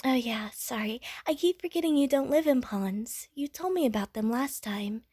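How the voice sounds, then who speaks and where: Woman voicing a chatty, high-pitched little slime girl. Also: animated, moderate pace, mellow, slightly rough, no room sound, studio quality. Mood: curious, dreamy. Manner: naive, chatty, rambling, with bubbly verbal bloops. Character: friendly, bubbly female water slime living in a pond. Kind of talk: audio roleplay addressed to the listener.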